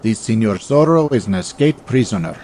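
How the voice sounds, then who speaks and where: A man's voice: lowish voice, animated, brisk, a little mellow, a little hiss or hum, lightly acted. A man playing a stern, dutiful lieutenant who speaks formally and demandingly in a dramatized audiobook. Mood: stern authority. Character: stern, dutiful male lieutenant asserting his authority.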